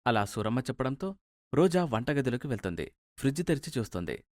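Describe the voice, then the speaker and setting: A man's voice: mid-range voice, big pitch swings, fast, darkish tone, no room sound, clean studio sound. A man narrating a scripted story as its storyteller.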